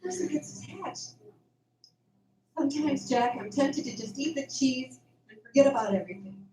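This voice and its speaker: A woman's voice: high-pitched, expressive, normal speed, mellow. A woman playing a wary, kind-hearted dairy farm hand in an audio drama. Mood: tender, sad. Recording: roomy, distant, noisy amateur recording.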